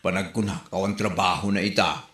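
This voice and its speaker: A man's voice: low voice, steady tone, fairly quick, a bit bright, roomy sound. A man playing a gossiping neighbor in a comedic audio drama, with an exclaiming manner.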